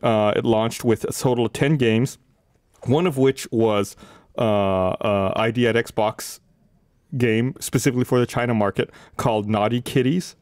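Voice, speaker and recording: A man's voice: mid-range voice, fairly even intonation, moderate pace, darkish tone, no room sound, studio quality. A man, hosting a casual gaming podcast. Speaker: podcast host. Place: indoor podcast studio. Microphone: desk studio microphone.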